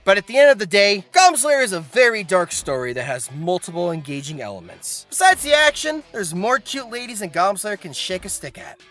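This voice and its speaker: Animated, bright-voiced woman doing comic commentary. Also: mid-range voice, fairly quick, hardly any pauses, faint music behind. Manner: sarcastic, slangy, exaggerated for comic effect. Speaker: anime video host.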